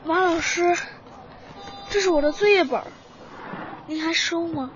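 Small village boy, devoted and stubborn. Childlike, very high pitch, dull lo-fi radio drama sound. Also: a little slow. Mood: wistful. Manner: childlike, plaintive. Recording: dull lo-fi recording, clear background noise.

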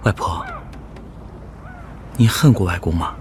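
An actor playing a gentle grown grandson in a radio drama, asking questions in a soft, inquisitive manner. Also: male voice, low-pitched, measured pace, slight background noise. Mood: gently curious. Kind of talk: quiet radio drama dialogue.

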